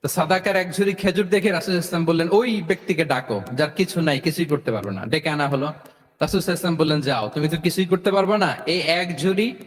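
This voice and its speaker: A male Islamic preacher with a Bangladeshi accent speaks in a slightly high voice at a quick clip. His delivery is emphatic and engaging, built on repetition and rhetorical questions, with Arabic quotations and English words mixed in. Long hall reverb trails his words. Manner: emphatic, engaging, repeats phrases and quizzes listeners. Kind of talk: sermon to a live audience, storytelling with rhetorical questions.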